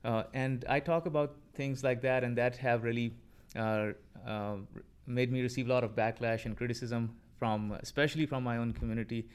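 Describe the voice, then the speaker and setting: A man in his thirties from Pakistan with a Pakistani accent, speaking haltingly with frequent fillers as a podcast interview guest. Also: medium pitch, fairly even intonation, clean tone. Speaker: interview guest, political commentator.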